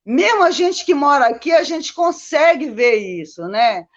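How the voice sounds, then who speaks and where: Chatty female Brazilian guest, high voice, casual storyteller full of tag questions, muffled lo-fi audio. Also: very animated, a little slow. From born in Manaus, lived mostly in Minas Gerais, Brazil. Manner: chatty, constantly seeking agreement with tag questions. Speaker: guest, Copacabana resident.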